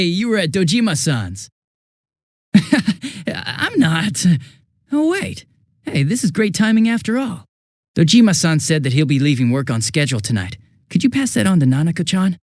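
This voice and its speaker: An actor playing a bumbling, chatty young police detective in a video game dub, with an American accent and a sheepish, stammering, casual manner. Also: male voice, on the high side, big pitch swings, moderate pace, no room sound, studio quality. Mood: chatty, breezy.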